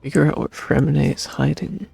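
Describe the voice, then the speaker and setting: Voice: mid-range voice, a little fast, creaky, darkish tone, slightly rough, dry recording, soft background music. A streamer giving casual live commentary while playing a video game.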